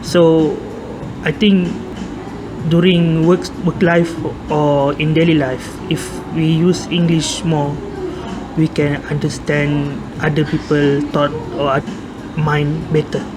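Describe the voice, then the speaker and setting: A young man from Malaysia, a university student whose first language is Malay, answering interview questions in English with a Malaysian accent, halting and repeating words. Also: on the high side, flat delivery, noisy background. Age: young adult.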